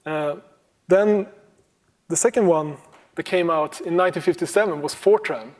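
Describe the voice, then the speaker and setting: A man with a fairly high voice gives a conference talk on computing history. He speaks casually and haltingly, with plenty of ums, restarts and an occasional chuckle. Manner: relaxed, many ums and restarts, chuckles now and then.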